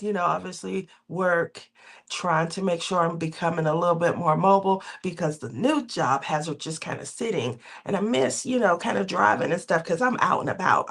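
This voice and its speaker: A woman's voice: fairly low pitch, a bit bright. A woman hosting a casual craft livestream, sounding nasal and a bit under the weather from a cold, rambling and laughing between her thoughts. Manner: rambling, laughs often, drawn-out filler words. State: recovering from a cold, sore throat.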